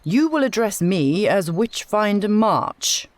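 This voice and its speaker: Woman acting a slow, pompous male witch-finder. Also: medium pitch, big pitch swings, pitched above the performer's own voice. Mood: haughty, commanding. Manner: pompous, declamatory. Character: arrogant, zealous male witch-finder, stern and righteous. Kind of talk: dramatised storybook reading.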